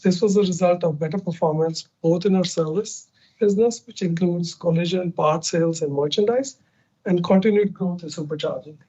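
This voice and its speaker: High-pitched man reading a financial report. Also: a little fast, warm tone, husky. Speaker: company finance executive. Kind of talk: scripted earnings report on a conference call. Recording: dull band-limited conference call audio.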